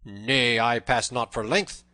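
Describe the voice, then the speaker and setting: A male narrator plays a stout, stubborn tanner who fears nobody. He throws out loud, defiant retorts in archaic storybook speech. The tone is forceful and bright, with a dry sound. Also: mid-range voice, steady tone, slow pace, no room sound.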